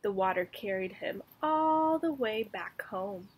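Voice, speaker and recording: A woman's voice: medium pitch, animated, takes it slowly, breathy edge. A young woman teacher reading a storybook and giving a lesson to children. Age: young adult. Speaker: elementary school teacher.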